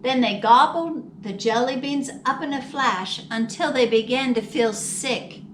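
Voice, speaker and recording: Female storytime reader for young children: expressive, dramatic, slow-paced, roomy distant recording. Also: sits high. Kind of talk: children's picture book read-aloud. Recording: roomy, distant mic with faint noise.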